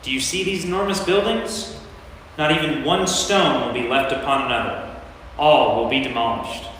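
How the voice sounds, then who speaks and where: A man's voice: mid-range voice, normal speed, a bit bright, natural voice. Commanding teacher in an echoing church, acted by a man. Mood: earnest. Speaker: lay performer in a church staged reading. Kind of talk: staged scripture reading. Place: large reverberant church. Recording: echoey church, distant mic, faint noise.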